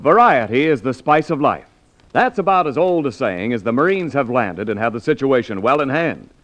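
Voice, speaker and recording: A man announcing in scripted 1940s radio style on a dull vintage recording. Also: mid-range voice.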